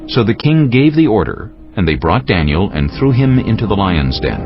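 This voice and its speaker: A man's voice: fairly low pitch, sing-song, moderate pace. A man narrating a dramatized scripture story as a scripted reading. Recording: muffled audio with music bed.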